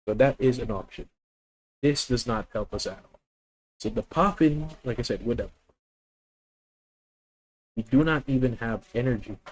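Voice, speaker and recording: A man with a Filipino accent talking through his plays on a live stream. He reasons out loud in a methodical way, often stopping to correct himself mid-sentence. His voice sits at an ordinary middle pitch. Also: normal speed, long pauses, rough recording. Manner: thinking aloud, methodical, self-correcting. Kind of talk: live deck-test commentary.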